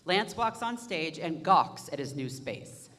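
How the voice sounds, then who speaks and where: A young woman reads stage directions in a plain, measured way, her voice on the lower side yet lively in pitch. A long hall reverb and faint noise sit around her. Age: young adult. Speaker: narrator reading stage directions. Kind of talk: staged reading narration.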